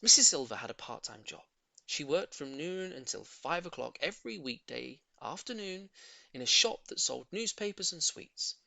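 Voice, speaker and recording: A man reading a children's story in an expressive, theatrical narrating style. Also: mid-range voice, creaky, breathy edge, no echo. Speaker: audiobook reader.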